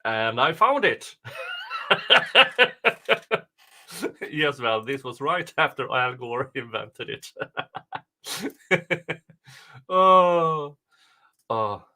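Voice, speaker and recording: A man hosting a livestream with casual chat, jokey and quick to laugh. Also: medium pitch, very animated, bright, forceful tone. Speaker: livestream host.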